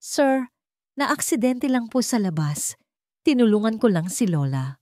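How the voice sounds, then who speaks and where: A woman's voice: on the high side, big pitch swings, a little slow, a little mellow, no echo, clean studio sound, voice pushed up. A woman voicing an earnest, nervous young male job applicant who speaks politely and pleadingly in a dramatic story.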